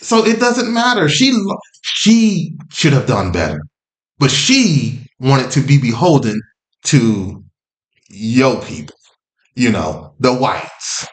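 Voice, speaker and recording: A man's voice: mid-range voice, big pitch swings, slow, echoey room. A man from Wales in casual podcast chat, blunt and profane. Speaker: podcast co-host.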